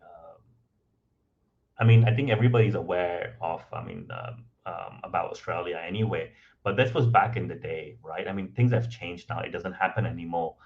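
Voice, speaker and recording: A man speaking English as a second language, guesting on a podcast and telling his story in a relaxed, conversational way, with many ums and "right?" tags. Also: deep voice, normal speed, creaky, muffled recording. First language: not English. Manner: frequent ums and restarts, tag questions of "right?".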